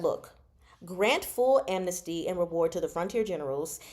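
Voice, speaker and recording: A woman's voice: medium pitch, a little mellow. Woman giving a slangy, emphatic book recap. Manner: slangy, emphatic, acting out dialogue in own voice; punctuates points with "okay?" and "right?". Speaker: host of a book discussion. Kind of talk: casual animated recap and commentary on a novel.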